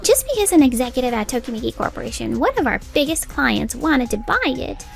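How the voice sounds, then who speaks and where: A woman plays a stern female secretary in a manga dub, high and lively in pitch. She is sharp-tongued and emphatic, scolding in a businesslike way. Light music plays underneath. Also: fairly quick, vocal fry, heavily acted. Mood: reproachful. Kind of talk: expressive manga dub voice acting.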